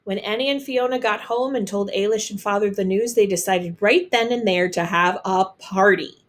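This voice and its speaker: A woman reading a children's picture book aloud as an expressive storyteller. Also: medium pitch, normal speed.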